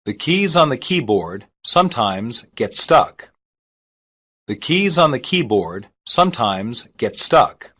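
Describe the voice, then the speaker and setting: Slow, measured English lesson reader. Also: medium pitch, husky. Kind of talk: slow repeated phrase reading.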